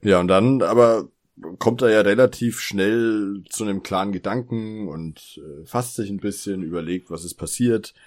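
A man's voice: lowish voice, moderate pace. A man co-hosting a podcast in casual, teasing conversation, often chuckling. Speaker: podcast co-host.

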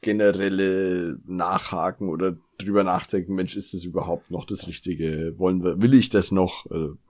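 Low, husky-voiced man chatting enthusiastically. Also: fairly even intonation, moderate pace, darkish tone. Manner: enthusiastic, quotes characters' lines while retelling. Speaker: podcast co-host. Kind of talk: casual discussion retelling an audio drama.

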